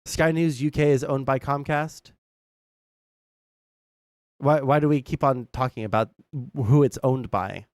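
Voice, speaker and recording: A man's voice: mid-range voice, unhurried, pauses often, darkish tone, no echo, clean studio sound. A young American man giving casual, rambling, blunt political commentary as a livestream host, swearing freely. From southern United States.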